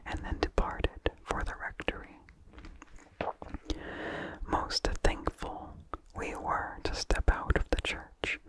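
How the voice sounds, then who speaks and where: ASMR reader: unvoiced breathy whisper, very slow and deliberate, hushed close-mic delivery. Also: low-quality recording. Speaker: ASMR host reading a vintage horror story. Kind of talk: whispered ASMR story reading. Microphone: close microphone with incidental mouth sounds.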